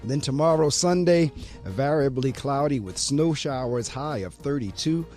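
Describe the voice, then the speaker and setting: A man's voice: mid-range voice, a little slow, a little mellow, soft background music. A man hosting a morning radio show and reading the weather, with a slightly froggy voice, in an upbeat talkative way. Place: small college radio studio. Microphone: studio mic.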